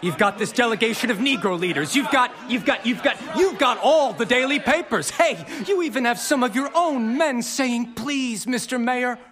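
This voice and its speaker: Casting: angry old-time alderman, very high voice, swinging wildly in pitch, blustering and combative, radio drama. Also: animated, moderate pace, hardly any pauses, a bit bright. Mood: angry, exasperated. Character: angry, blustering male city alderman in 1919.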